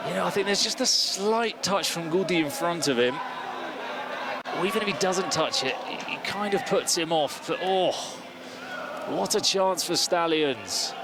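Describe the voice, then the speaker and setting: An English-accented man calls a football match play by play in a measured, analytical way. His voice sits a little high. A loud crowd and heavy noise from the venue fill the background. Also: animated. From Spain. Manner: measured, analytical calling of the action. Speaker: football commentator. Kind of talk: play-by-play commentary.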